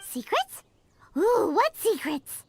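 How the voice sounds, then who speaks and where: A woman voicing a tiny, childlike fairy companion in a video game, squeaky, excitable and full of nosy questions. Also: takes it slowly, a little hiss or hum, heavily acted. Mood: curious. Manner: exclamatory, inquisitive. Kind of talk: animated video game dubbing. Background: loud music.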